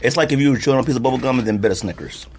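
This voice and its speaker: A man's voice: mid-range voice, very fast, darkish tone, slight background noise. A man bantering casually as a podcast co-host over snacks, in a slangy, blunt and emphatic way. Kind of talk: casual banter while taste-testing snacks.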